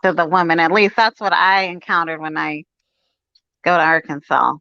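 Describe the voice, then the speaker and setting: A woman's voice: lowish voice, steady tone, normal speed, creaky, pushed and bright, smooth, dry recording, dull audio. A woman from California calling in to a live-stream chat, talking in a hesitant, polite way. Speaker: caller.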